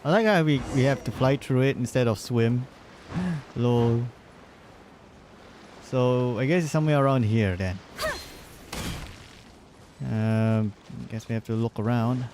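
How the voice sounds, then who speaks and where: Slow-paced, halting male game streamer. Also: medium pitch, darkish tone, slight background noise. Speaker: game livestreamer. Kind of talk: casual gameplay commentary.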